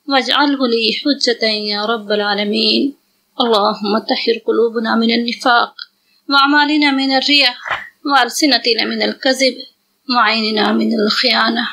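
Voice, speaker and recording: Woman leading prayer, really bright highish voice, pleading and solemn, measured phrases. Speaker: prayer leader. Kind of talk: Arabic supplication recitation.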